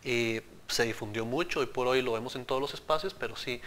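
A man's voice: medium pitch, a little fast, fairly bright tone, slight background noise. A man, a product engineer explaining things earnestly in a TV studio interview on a lapel mic. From Central America. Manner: explanatory, earnest, addresses host directly.

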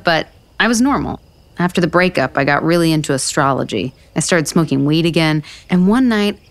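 An actress playing a blunt, sarcastic, self-deprecating woman writer in a naturalistic romantic comedy audio drama. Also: on the low side.

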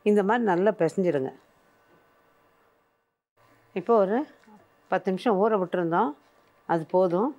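A woman's voice: lowish voice, fairly quick, a little mellow, muffled recording. An older woman presenting a cooking show, patiently explaining each step as she works in a studio kitchen.

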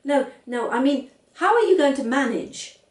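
A woman's voice: high-pitched, animated, normal speed, darkish tone, roomy sound, recorded from a distance, voice pushed up. A woman voicing a patient, doubtful father in a storybook reading, reasoning and questioning. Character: doubtful, patient, teasing father of young children.

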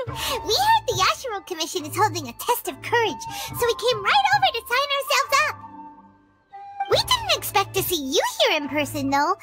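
A woman voicing a tiny, excitable, cheeky girl companion in a video game, childlike and squeaky with a bubbly manner. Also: moderate pace, heavily acted. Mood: cheerful, cheeky. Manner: bubbly, refers to herself in third person. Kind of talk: video game cutscene acting. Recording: studio voice over loud game music.

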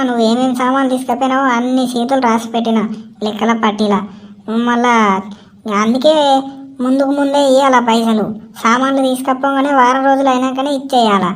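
An exasperated village shopkeeper voiced by a woman from a comedy cartoon, speaking fast in a somewhat high voice. The Telangana Telugu accent carries a scolding, no-nonsense, insistent manner that keeps hammering the same point. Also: natural voice. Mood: indignant.

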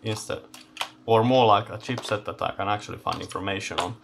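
A man giving unscripted hands-on commentary as he takes electronics apart, halting and thinking aloud in short phrases. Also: fairly low pitch, gravelly, a little hiss or hum. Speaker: host of electronics teardown videos. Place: indoor electronics workbench.

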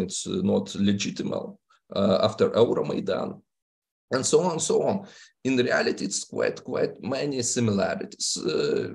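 A middle-aged man from Lithuania whose first language is Russian, giving a halting online seminar talk full of filler sounds and non-native phrasing. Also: on the low side, vocal fry, a little mellow. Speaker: seminar speaker. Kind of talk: academic lecture over video call. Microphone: video call headset or webcam mic.

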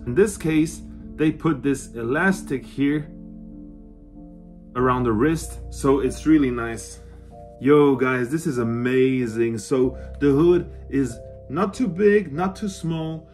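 A man in his thirties giving a casual, enthusiastic review to camera at home, informal in his way of talking. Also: medium pitch. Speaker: collector presenting his costume collection. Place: small cluttered room at home, indoors.

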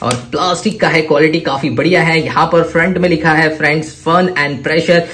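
A man with an Indian accent presenting products to viewers in an excitable, gushing way, piling up superlatives. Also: a little high, fairly quick, slight background noise. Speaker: toy reviewer and presenter. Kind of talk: enthusiastic product showcase to viewers.

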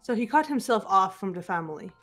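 A guarded teenage detective girl, played by a woman, speaks with measured seriousness in a video game. Her pitch sits at an ordinary level for a woman, and music plays behind her. She sounds composed and deliberate, with bitterness held in check. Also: animated, a bit bright. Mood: controlled, reflective. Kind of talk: amateur video game voice acting. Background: background music.

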